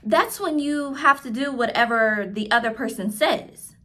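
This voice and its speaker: A woman's voice: on the high side, unhurried, pushed and bright. A woman reading the part of a curious, earnest young schoolgirl in a storybook. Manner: earnest, questioning.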